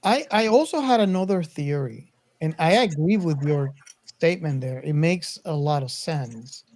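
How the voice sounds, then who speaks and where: A man co-hosting a casual film discussion, reasoning aloud in a deliberate way. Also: a little high, lively intonation, a little mellow. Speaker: podcast co-host.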